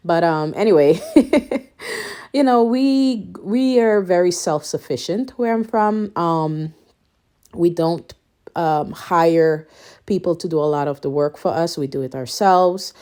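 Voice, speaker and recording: A woman's voice: on the low side, takes it slowly, dark tone, clear, resonant tone. A woman in her thirties from Suriname with a Guyanese accent, chatting casually and a little out of breath, with lots of ums. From Suriname, raised Guyanese. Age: 30s. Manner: rambling, many ums, laughs between thoughts. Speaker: home renovation vlogger. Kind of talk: casual chat to camera.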